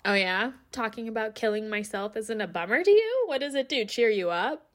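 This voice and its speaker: A woman's voice: a little high, lively intonation, fairly quick, close to an everyday voice. A woman playing a despairing, short-tempered lone-wolf werewolf in an audio drama, weary and wry, sometimes dropping to near-whispers. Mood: teasing sarcasm. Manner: sardonic, swearing, wry laughs. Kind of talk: naturalistic audio drama acting.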